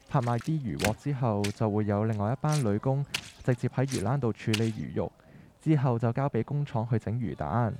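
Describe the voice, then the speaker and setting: Man playing an earnest young son, mellow Cantonese. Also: mid-range voice, lightly acted. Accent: Hong Kong Cantonese. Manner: earnest, persuasive. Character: earnest, determined young adult son of fish-market family. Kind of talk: scripted radio drama dialogue.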